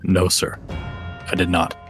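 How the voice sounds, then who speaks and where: A man voicing a stern, formal courtroom authority in a fan dub of a video game. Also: slow pace. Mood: firm. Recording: loud music behind.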